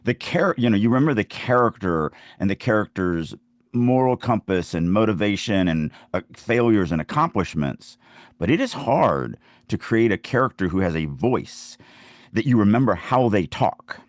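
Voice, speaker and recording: A man's voice: on the low side, expressive, normal speed, dry recording, clean studio sound. A man in casual conversation as a podcast co-host, speaking emphatically and repeating phrases to make a point. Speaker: writer co-hosting a writing podcast. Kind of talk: casual conversational writing discussion.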